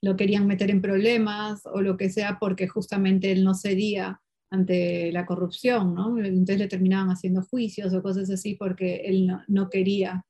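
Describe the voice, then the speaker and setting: Woman interview guest, Portuguese speaker; lively storyteller full of fillers and self-corrections. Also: medium pitch, normal speed. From Peru. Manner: lively, animated, many fillers and restarts. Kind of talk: casual conversational storytelling.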